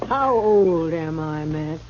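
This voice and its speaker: An actress playing a shrewd, teasing older saloon keeper, blunt and folksy, in an old-time radio western. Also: female voice, deep voice, animated, slow pace. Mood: rueful. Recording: muffled, noisy old radio recording.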